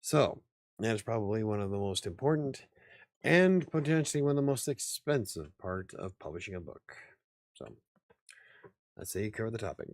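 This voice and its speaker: Low-voiced man chatting casually. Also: dry recording, clean studio sound. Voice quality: slightly raspy. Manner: rambling, laughs often, swears casually. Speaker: host. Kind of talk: casual chat.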